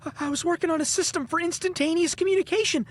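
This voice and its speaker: A woman playing an earnest, stammering, excitable teenage boy inventor from 1915 in an audio drama. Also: very high voice, very fast. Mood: desperate, pleading.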